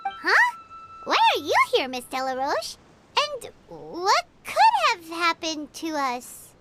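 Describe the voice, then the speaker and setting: A woman voicing a small, excitable, childlike female fairy companion in a video game, chirpy and exclaiming. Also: very high voice, slow, loud music behind the voice, full character voice. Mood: surprised, assured. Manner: chirpy, exclaiming, stammers when startled.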